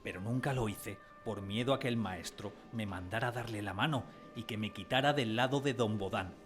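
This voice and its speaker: A man playing a narrator who fondly recalls his village schooldays, in expressive scripted radio drama storytelling. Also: lowish voice, moderate pace, faint music behind, natural voice. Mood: reminiscent.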